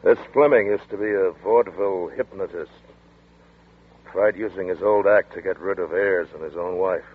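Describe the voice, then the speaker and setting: An actor playing a steady, protective adult male friend in a 1940s radio drama, speaking in a reassuring, matter-of-fact way. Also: deep voice, normal speed, slightly rough. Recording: tinny lo-fi 1940s radio broadcast.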